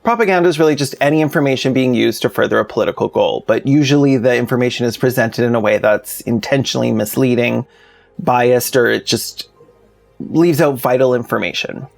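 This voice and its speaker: A man's voice: mid-range voice, a little fast, vocal fry, faint music behind. A man narrating a scripted commentary video in a plainspoken, informal way with sardonic asides. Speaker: video essayist, host.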